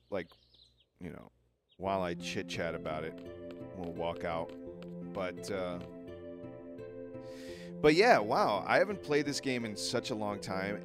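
A man giving casual gameplay commentary in a chatty, rambling way, thinking aloud as he plays. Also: fairly low pitch. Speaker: video game player commentating.

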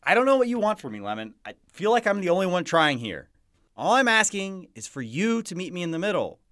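An actor playing a needy, eager praying mantis boyfriend in an animated film, talking in a pleading, excitable, naturalistic way. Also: male voice, high voice, a little fast, no room sound, clean studio sound, exaggerated voice. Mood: pleading, frustrated.

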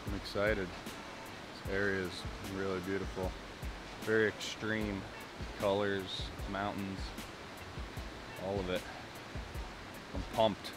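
A young man chatting in a relaxed, slangy way outdoors at a campsite. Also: sits low, slow, pauses often. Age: young adult. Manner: laid-back, slangy. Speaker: travel companion. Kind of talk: casual chat. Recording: music over the voice.

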